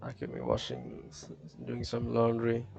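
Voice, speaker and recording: Man from Bangladesh, deep fry in the voice, slow pace, casual manga commentary, faint music. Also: very animated, darkish tone. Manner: halting, loosely paraphrasing as he reads. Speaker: streamer reading manga aloud. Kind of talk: casual read-along commentary.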